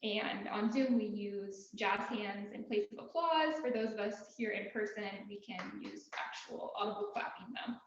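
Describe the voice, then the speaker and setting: A soft-spoken woman opening a club meeting as its president, in a relaxed, friendly way. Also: medium pitch, normal speed, hardly any pauses, slight background noise. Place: meeting room, in person. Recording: very echoey room, dull sound.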